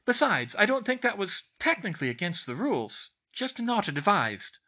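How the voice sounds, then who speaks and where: Seeking a man to play a smug, clever schoolgirl in a storybook, high and lilting, explaining things matter-of-factly. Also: sing-song, pushed and bright, heavily acted. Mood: defensive, resigned. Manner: brisk, explanatory, matter-of-fact. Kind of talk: audiobook character voice.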